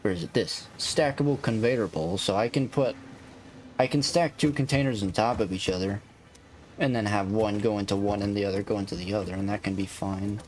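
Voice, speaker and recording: A man's voice: fairly low pitch, brisk, a little mellow. A man with a soothing voice chatting casually and thinking aloud as he plays a game on a live stream, in a laid-back, rambling way. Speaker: live streamer playing a factory-building game. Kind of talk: casual live-stream chat and gameplay commentary. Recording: clear background noise behind the voice.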